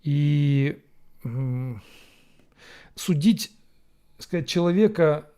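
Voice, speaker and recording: A man from Ukraine, hosting a question-and-answer show, musing on viewers' questions with frequent pauses and fillers. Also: fairly high pitch, animated, warm tone, clean tone. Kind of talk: Q&A monologue. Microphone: camera setup.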